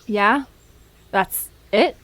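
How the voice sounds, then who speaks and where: A woman's voice: mid-range voice, extremely slow, a bit bright. A woman playing an earnest, anxious, inquisitive young woman in an audio drama, with a hesitant manner. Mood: frustrated, pleading.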